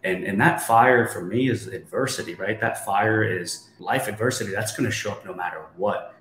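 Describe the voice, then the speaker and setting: Deep-voiced young man in an echoey room. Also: fairly bright tone. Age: 20s. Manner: emphatic, motivational, asks rhetorical questions. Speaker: guest, real estate investor. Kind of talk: storytelling in a podcast interview. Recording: echoey room, faint background noise.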